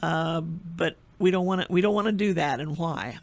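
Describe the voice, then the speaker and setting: Male radio host from the Midwest, rambling and talkative, raised pitch with vocal fry. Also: moderate pace. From American Midwest. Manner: chatty, rambling questions. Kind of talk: radio interview.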